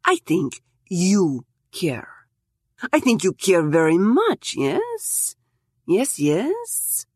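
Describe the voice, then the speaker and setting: A brutish gangster boss with a heavy accent, as a woman narrator puts him on, speaking in clipped, broken phrases full of menace. His voice sits low and steady, recorded close and dry. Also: drawn-out words, slightly rough, dry recording, full character voice. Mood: menacing. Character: brutish heavily accented male gangster employer.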